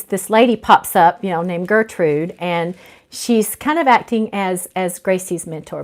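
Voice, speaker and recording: Woman author hosting a live book reading. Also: medium pitch. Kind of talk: informal livestream chat and read-aloud narration.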